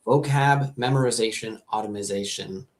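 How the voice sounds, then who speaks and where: A man's voice: lowish voice, moderate pace, slight background noise. Upbeat chatty American-born man host. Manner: upbeat, chatty, repeats words. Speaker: host, ESL teacher and music producer. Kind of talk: live webinar hosting.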